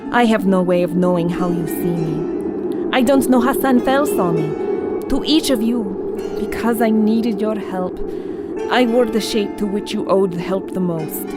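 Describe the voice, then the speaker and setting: A woman portrays a powerful alien woman in a pulp science fiction audio drama. She speaks gravely and formally in measured phrases, at a medium pitch, with music clearly underneath. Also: lightly acted. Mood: sorrowful confession. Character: solemn, powerful alien woman from another world.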